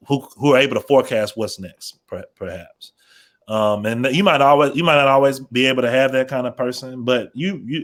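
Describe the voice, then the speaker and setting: An American man speaking as a radio program manager on a panel, explaining informally and often restarting phrases as he thinks. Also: lowish voice.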